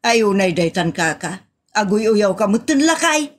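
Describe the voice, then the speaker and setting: A woman's voice: medium pitch, sing-song, moderate pace. A woman with an Ilocano accent acting a sassy, easily scandalised village woman in a comedy audio drama. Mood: teasing.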